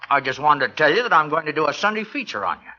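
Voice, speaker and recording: A man's voice: a little high, sing-song, very fast, sharp tone, heavily acted. An actor playing a sly, mocking newspaper reporter who relishes his scoop, in 1940s radio drama style. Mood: insinuating. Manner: mocking, insinuating, chuckling. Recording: dull, lo-fi vintage radio recording.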